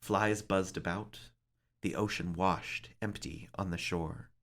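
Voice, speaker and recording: A man narrates a somber tale in a theatrical read-aloud style. His voice sits a little low but has a bright, forceful edge. The phrasing is deliberate and dramatic, like a librarian performing a story. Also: fairly even intonation.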